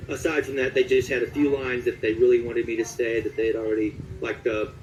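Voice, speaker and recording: A man with a mid-pitched voice talks casually as a voice actor being interviewed. He feels his way through recollections in a halting manner, pausing to search for the right detail. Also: level tone, darkish tone, a little hiss or hum, rough recording.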